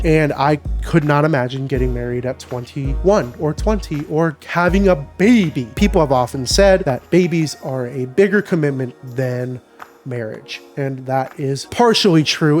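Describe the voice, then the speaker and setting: A young man hosting a casual advice vlog, slightly nasal and congested from a sinus infection, talking to the viewer in an opinionated, emphatic way. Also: fairly high pitch. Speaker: advice show host.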